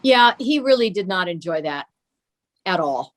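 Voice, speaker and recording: A woman's voice: fairly low pitch, lively intonation, unhurried. An older woman being interviewed, telling anecdotes and sharing family memories. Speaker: interview guest sharing memories of her father. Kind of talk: reminiscing, anecdotal storytelling.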